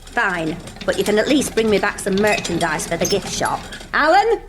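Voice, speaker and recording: An actress playing a blunt, bossy gift shop worker with a Northern English accent, grumbling and scolding in a radio sitcom. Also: female voice, medium pitch, big pitch swings, moderate pace, background noise, lightly acted.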